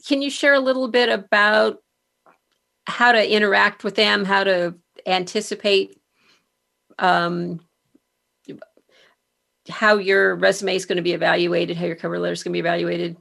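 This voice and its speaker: A woman hosting a podcast interview in a conversational way, pausing often as she puts her questions. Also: mid-range voice, steady tone, vocal fry, sharp tone.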